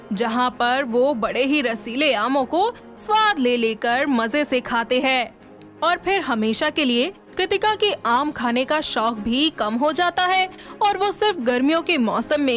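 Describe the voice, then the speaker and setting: A woman's voice: sits high, a bit bright. A woman reading scripted narration for a moral bedtime story. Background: faint music.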